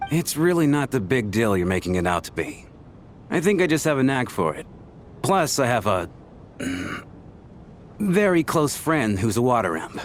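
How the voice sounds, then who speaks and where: A man's voice: mid-range voice, sing-song, moderate pace, a little hiss or hum, close to an everyday voice. A man voicing a genial, easygoing companion who talks in a breezy, reassuring way. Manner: genial, breezy, calls her lass.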